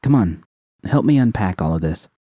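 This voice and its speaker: A man's voice: lowish voice, animated, moderate pace, dark tone, no echo, clean studio sound. A man voicing a geeky, playful, sardonic young woman in audiobook dialogue. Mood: affectionate. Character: techy, teasing young woman, sardonic and warm-hearted.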